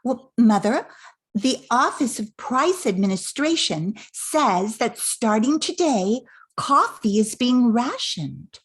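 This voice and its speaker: A woman acts a smart, kind teenage girl of the 1940s in a radio play. The voice is highish and husky, with slow, lively phrasing. She explains things earnestly and patiently. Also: full character voice. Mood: earnest, reasoning. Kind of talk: amateur radio play acting.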